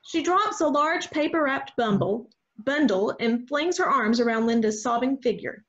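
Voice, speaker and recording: A woman's voice: sits high, expressive, normal speed, a bit bright. A woman reading stage directions as scripted narration for a play reading, on a dull online recording. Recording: dull lo-fi online call sound.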